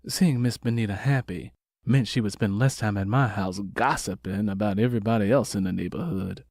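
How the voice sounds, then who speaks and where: A man's voice: on the low side, fairly quick, darkish tone, no room sound, clean studio sound. A man reading scripted first-person fiction as an audiobook narrator.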